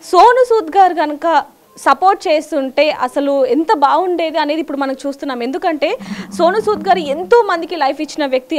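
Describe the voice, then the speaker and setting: A woman reporting live news from the field in a wordy, emphatic way. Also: high-pitched.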